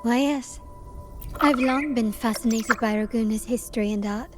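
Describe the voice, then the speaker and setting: A poised, enigmatic woman, as an actress plays her who speaks in a measured, elegant way with a teasing edge and a slightly high voice. Game score plays clearly beneath her lines. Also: close to an everyday voice. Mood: calm. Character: poised, enigmatic adult woman, knowing and cryptic. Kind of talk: game cutscene voice acting. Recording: music score behind.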